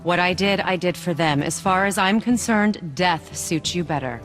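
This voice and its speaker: A woman's voice: on the low side, normal speed, faint music behind, close to an everyday voice. An actress playing a proud, protective witch goddess in a video game, speaking with firm, dry, weary authority. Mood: cold, proud. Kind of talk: cinematic video game acting.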